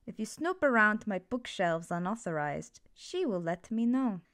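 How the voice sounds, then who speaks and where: A woman voicing a stern, authoritative professor of elemental magic in a measured ASMR fantasy roleplay. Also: medium pitch, very animated, a bit bright. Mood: instructive. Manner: strict, measured, commanding.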